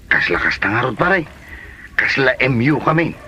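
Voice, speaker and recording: A man's voice: medium pitch, sing-song, normal speed, noisy background. A man playing a young mechanic and suitor in a comedy drama, by turns boastful and awkwardly deferential. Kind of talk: Ilocano comedy drama acting.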